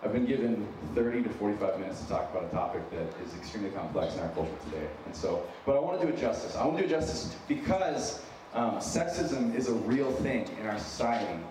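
A man's voice: lowish voice, animated, fast, hardly any pauses. A man in his thirties with an American accent touched by Minnesotan gives a conversational sermon to an audience, informal, self-deprecating and earnest, repeating phrases for emphasis. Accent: American with a slight Minnesotan touch. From United States, born in San Diego and raised in Wyoming. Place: large echoey room with an audience. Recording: very echoey noisy hall, distant mic.

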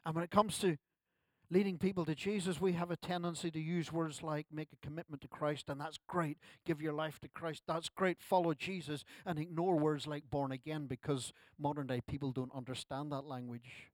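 Man in his sixties, pastor, conversational sermon, slightly high voice, dry close sound. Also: steady tone, normal speed, no echo. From Ireland. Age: 60s. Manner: conversational preaching with self-deprecating asides. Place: church service.

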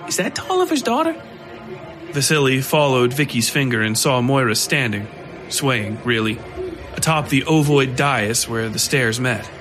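A man's voice: medium pitch, a little slow. A man narrating scripted horror fiction as a storyteller. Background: music under the voice.

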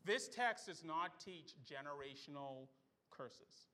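A man's voice: a little high, animated, takes it slowly, sharp tone. A middle-aged man from the Bahamas, preaching a sermon to his church with emphatic rhetorical questions. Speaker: pastor. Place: church sanctuary.

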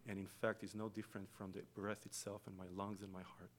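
A man from Israel with an Israeli accent, a native Hebrew speaker, giving a slow, reflective guest sermon in a church. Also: lowish voice, level tone, slight background noise. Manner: slow, reflective, with long pauses and soft trailing phrases. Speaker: guest preacher. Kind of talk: meditative sermon with chanted Hebrew opening.

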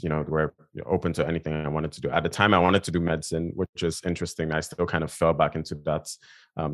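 A young adult man from Nigeria, a guest in an interview, talking casually and thoughtfully with many fillers and restarts. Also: extremely low pitch. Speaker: guest designer.

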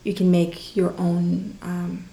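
A woman from Iran, an artist guest in an interview, speaking casually and hesitantly with many pauses and restarts. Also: fairly low pitch, monotone, creaky, mellow. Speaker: guest, artist and hat designer. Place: studio surrounded by hats. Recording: roomy echo.

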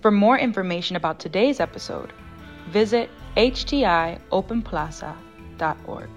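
A woman's voice: mid-range voice, animated, moderate pace. A woman reading a scripted podcast welcome announcement. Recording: voice with music behind.

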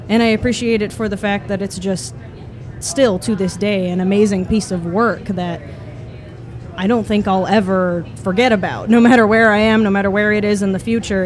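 A woman speaks at a live podcast taping in a bar. She talks earnestly and from the heart, pausing with "uh" and "um" as she finds her words. Her voice sits at an everyday pitch. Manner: earnest, heartfelt, with hesitant fillers. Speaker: podcast guest. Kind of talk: sincere personal reflection.

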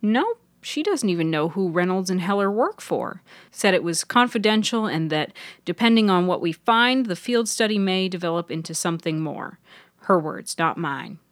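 A woman voicing a bright, wry young woman in a fiction podcast, articulate and emphatic. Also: fairly low pitch, lively intonation, normal speed, no echo, natural voice. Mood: matter-of-fact. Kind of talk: audiobook character voice.

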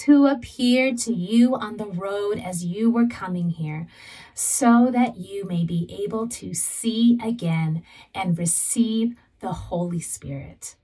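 Woman from socal preaching, slow and earnest, sounds kinda far from the mic. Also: fairly high pitch, a little mellow. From Southern California. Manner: slow, deliberate, earnest storytelling. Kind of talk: sermon with personal testimony.

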